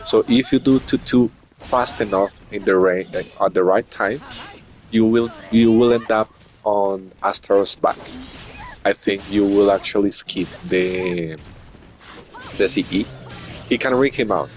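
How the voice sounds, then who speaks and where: A man's voice: on the low side, a little slow, darkish tone, faint music behind, low-quality recording. A man commentating a fighting game tournament in English, which is not his first language, explaining tactics at length with frequent restarts. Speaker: esports commentator.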